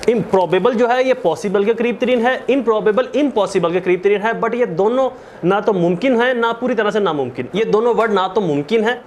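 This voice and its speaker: High-pitched Pakistani man giving a lecture. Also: darkish tone, a little hiss or hum. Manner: didactic, repeats and rephrases key terms. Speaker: law lecturer. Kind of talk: explanatory lecture mixing Urdu with English terms.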